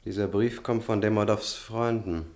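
A polite, calculating young student played by a man in a radio drama. He speaks in a low voice with measured courtesy and deliberate phrasing. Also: fairly even intonation, a little mellow, lightly acted. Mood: grave, hesitant. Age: young adult. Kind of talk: German radio drama acting.

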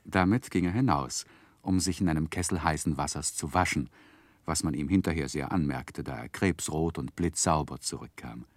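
A man narrating a 1960s radio play as a literary storyteller, measured and expressive. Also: rumbling low, no echo.

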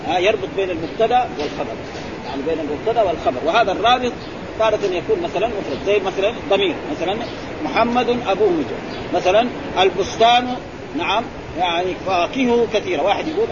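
A man, a sheikh teaching grammar who keeps prompting his students with quick questions. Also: high voice. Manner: quizzing, prompting with repeated "eh?" and "ha?". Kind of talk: classroom explanation with questions to students. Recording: muffled recording with crowd and traffic noise.

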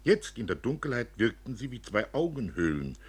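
Male narrator for radio literature, husky voice, very animated pitch, expressive storytelling. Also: moderate pace. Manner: expressive, acting out dialogue. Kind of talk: dramatic literary reading for radio.

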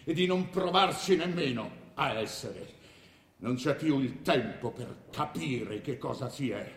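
An actor playing a forgetful, world-weary king in a stage drama, halting and theatrical, full of questioning. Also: medium pitch, animated. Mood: resigned, halting. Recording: echoey hall with long reverb.

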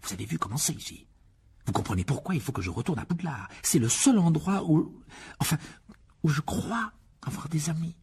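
Woman doing a young boy wizard, earnest, anxious, slightly raised husky voice. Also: sits low, animated, a little fast, a little mellow, voice pushed up, full character voice. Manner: earnest, pleading.

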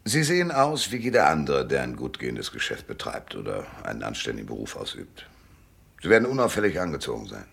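An actor in a German radio drama playing a world-weary, cynical private detective with a laconic, knowing manner and a deep, gravelly voice. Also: male voice, huge pitch swings, a little fast, a bit bright, exaggerated voice. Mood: cool, grim.

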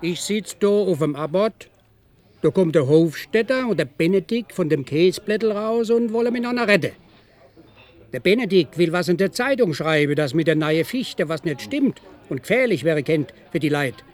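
High-voiced excitable southern German villager. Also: male voice, normal speed. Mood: urgent, confiding. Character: excitable, insistent rural man passing on overheard news. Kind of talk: German radio drama acting.

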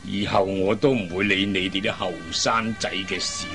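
An actor playing a worried middle-aged father in a Cantonese radio drama, sighing and speaking with drawn-out emotion. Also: low-pitched, little pitch movement, vocal fry, pushed and bright, soft background music, full character voice. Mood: exasperated. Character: worried, exasperated father of grown daughters.

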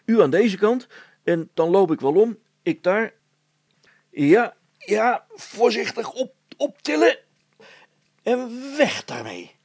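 A man's voice: sits high, sing-song, slow pace, long pauses, darkish tone, husky, dry recording, voice pushed up, exaggerated voice. A man voicing a practical, irritable father in a story, plain-spoken and urgently directing others. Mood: directing, brisk. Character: Brilstra, practical, irritable, take-charge father, adult man.

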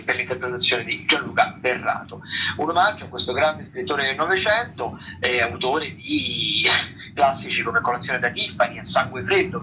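A man's voice: mid-range voice, low-quality recording. A man hosting a radio show, talking through theatre listings in a loose, semi-improvised way, often hesitating and searching for words. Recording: radio broadcast with music underneath. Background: music.

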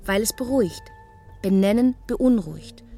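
Radio drama: actress plays troubled, argumentative woman, medium pitch, emphatic and insistent, music underneath. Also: lively intonation, normal speed, mellow, lightly acted. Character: troubled, argumentative woman worn down by doctor visits. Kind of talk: German radio play dialogue.

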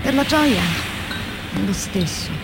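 An actress playing a young, tormented philosophy teacher turned factory worker, in a radio drama monologue with measured, deliberate phrasing and dramatic pauses. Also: female voice, high voice, animated, over loud music. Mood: grave, stern. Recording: clearly noisy recording with ambient sound.

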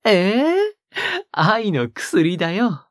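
A woman playing a soft-spoken, possessive young man in an intimate audio drama, coaxing and falsely sweet, with a breathy voice that often drops to a whisper. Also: mid-range voice, big pitch swings, very slow, dry recording, studio quality. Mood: playfully insistent.

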